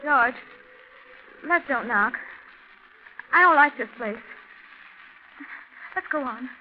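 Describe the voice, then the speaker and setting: A woman's voice: sits high, lively intonation, slow. An actress playing an anxious, uneasy woman traveler stranded at night, hesitant and theatrical in 1940s radio style. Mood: fearful. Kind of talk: 1940s radio drama acting. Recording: muffled, very noisy 1940s radio recording.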